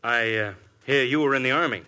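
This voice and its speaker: An actor playing a shrewd police inspector who questions people with smooth persistence, in a 1950s radio drama. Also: male voice, medium pitch, a little fast, bright, forceful tone, close to an everyday voice. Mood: probing. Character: shrewd, probing middle-aged police inspector.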